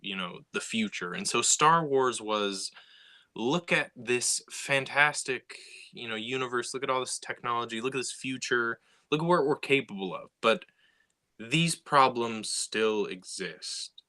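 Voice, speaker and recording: A man's voice: medium pitch, unhurried, sharp tone. A young man talking casually about science fiction, with many fillers and restarts. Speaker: sci-fi writer. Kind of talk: casual conversation.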